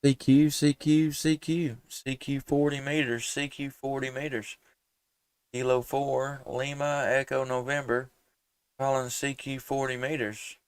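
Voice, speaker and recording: A man's voice: medium pitch, level tone, unhurried, smooth, no echo. A man working as an amateur radio operator on a live stream, folksy and courteous, using radio jargon and spelled-out call signs. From southern Middle Tennessee, USA. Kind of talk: ham radio calling and casual contacts, live streamed.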